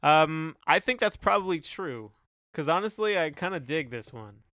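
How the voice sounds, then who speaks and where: Male podcast co-host, crisp and bright, conversational music talk with a searching, hesitant delivery. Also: fairly high pitch, animated, moderate pace, no echo, clean studio sound. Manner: hesitant, groping for descriptive words. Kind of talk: casual chat reviewing songs.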